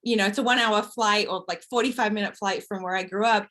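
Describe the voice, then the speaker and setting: A woman's voice: medium pitch, steady tone, quick pace, a bit bright. A woman with an Australian accent, chatting casually and peppering her speech with "like". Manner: chatty, many "like" fillers. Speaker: panelist. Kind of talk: casual chat.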